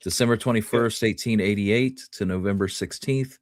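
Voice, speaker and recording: A man's voice: fairly low pitch, moderate pace, no room sound, studio quality. A man co-hosting a history podcast, talking casually and thinking things through aloud. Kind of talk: casual conversational discussion.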